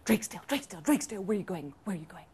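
A sly storybook fox, voiced by a woman storyteller. The voice is hushed and breathy, close to a whisper, and pleads coaxingly. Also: mid-range voice, lively intonation, very fast.

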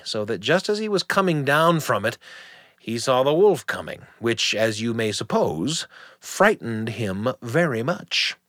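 A man narrates as the host of a radio storytelling show. His delivery is very expressive and conversational with a wry touch, at a medium pitch, recorded dry and close. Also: dry recording. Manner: conversational, wry asides to the listener. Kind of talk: animated storytelling and scripted narration.

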